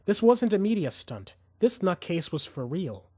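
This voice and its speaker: A male audiobook narrator reads thriller fiction with intensity and suspense. His voice sits a little high and moves through a wide, expressive range, captured dry and close. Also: unhurried, dry recording. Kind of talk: dramatic scripted fiction narration.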